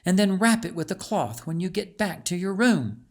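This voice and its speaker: A man's voice: high voice, animated, normal speed, pitched above the performer's own voice. A man voicing a confident, bossy young girl, firm and instructing, in storybook dialogue. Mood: urgent, instructing.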